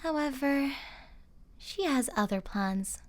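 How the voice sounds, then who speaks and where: A woman's voice: sits high, expressive, slow pace. A woman streamer giving scripted story narration for an ASMR roleplay.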